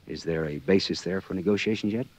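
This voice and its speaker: Hard-boiled detective, American, husky, lowish voice, glib, sardonic, old radio drama. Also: male voice, fast talker. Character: wisecracking hard-boiled male private detective. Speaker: lead actor and narrator. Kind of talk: 1940s radio acting. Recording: muffled, lo-fi 1940s radio recording.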